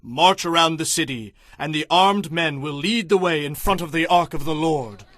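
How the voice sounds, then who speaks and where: A man's voice: on the high side, normal speed, sharp tone, no room sound, studio quality. A man playing an earnest, reverent leader of ancient Israel in a dramatized audio Bible, speaking in a solemn, declamatory way. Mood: commanding. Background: background music.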